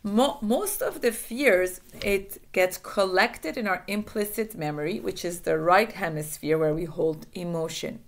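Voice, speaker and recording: A woman's voice: lowish voice, unhurried, few pauses. A woman in her forties, a native Farsi speaker, giving earnest self-help advice to camera, repeating key phrases, recorded by a laptop webcam in a small room.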